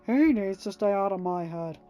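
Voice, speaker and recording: A woman puts on a cynical male smuggler for an audiobook. He sounds gruff and mellow, with a slangy, dismissive delivery. He is irritable and out for himself. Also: medium pitch, animated, moderate pace, dull audio, faint music behind, close to an everyday voice. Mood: grumbling. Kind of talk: dramatised audiobook reading.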